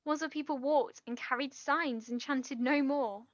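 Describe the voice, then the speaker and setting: An actress playing an earnest, guilt-ridden young woman, halting and pleading, in a naturalistic stage drama. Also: high voice, fairly even intonation, no room sound, dull audio. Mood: earnest, defensive.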